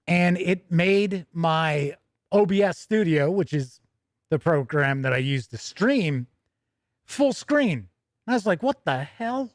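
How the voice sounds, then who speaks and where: A man with a notably high-pitched voice hosts a casual tabletop gaming stream. He talks conversationally, correcting himself and adding small asides as he goes. The sound is very dry and close, with no sense of the room. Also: no room sound. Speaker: host of a tabletop gaming news show. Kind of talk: casual livestream chat and news reading.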